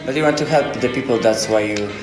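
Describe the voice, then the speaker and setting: Polish interviewer, echoey room, music behind. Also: male voice, medium pitch, fast talker. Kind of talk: casual interview questions in non-native English.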